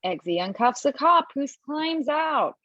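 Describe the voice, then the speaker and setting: A woman narrating stage directions at a table read from home, lively and expressive, with small slips. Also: high-pitched, measured pace. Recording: dull lo-fi, dry.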